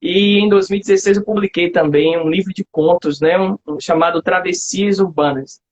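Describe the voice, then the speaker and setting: A man's voice: high voice, fairly even intonation, normal speed, slight background noise. A man from Salvador with a Bahian accent hosting a casual, colloquial live chat online. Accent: Bahian Brazilian Portuguese. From Salvador, Bahia, Brazil. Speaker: host, literature teacher. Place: online video live, remote call. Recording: dull lo-fi livestream audio.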